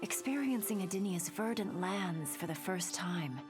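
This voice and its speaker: Solemn female ruler voiced by a woman, proud, formal, slightly low voice, fantasy game narration, music behind. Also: animated, moderate pace, lightly acted. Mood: hopeful. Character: regal warrior empress, earnest, proud and dutiful. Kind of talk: scripted video game ending monologue. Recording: music under the voice.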